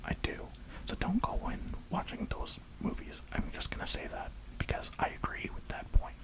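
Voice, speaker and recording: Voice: normal speed, rough recording. Whispered, rambling ASMR film reviewer. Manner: informal, hesitant, rambling with asides.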